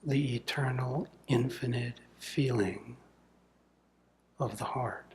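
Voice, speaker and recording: Very slow, soft-spoken man guiding meditation. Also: medium pitch. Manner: slow, deliberate, measured phrases with long pauses. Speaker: spiritual teacher. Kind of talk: guided meditation, contemplative satsang talk.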